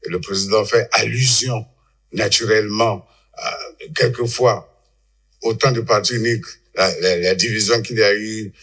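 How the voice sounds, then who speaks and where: A man giving unscripted political analysis as a panel guest, haltingly, repeating words as he argues. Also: on the low side, a bit bright.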